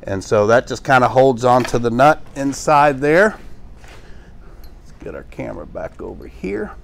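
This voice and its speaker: A man's voice: mid-range voice, lively intonation, measured pace, vocal fry. Casual middle-aged man demoing in a workshop. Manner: casual and rambling, with many fillers and restarts. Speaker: pressure washing instructor. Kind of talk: hands-on how-to demonstration. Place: small workshop with tool shelves, indoors.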